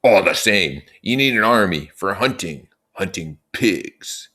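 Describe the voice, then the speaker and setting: A man voicing a bold, challenging boy hunter who blurts his words forcefully, in a storybook reading. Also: sits low, expressive, unhurried, slightly rough, pitched above the performer's own voice, heavily acted. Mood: defiant.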